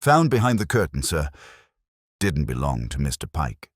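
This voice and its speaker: A man voicing a helpful, plain-speaking townswoman in a mystery story, brisk and deferential. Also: rumbling low, huge pitch swings, breathy edge, no room sound, studio quality, heavily acted. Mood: urgent.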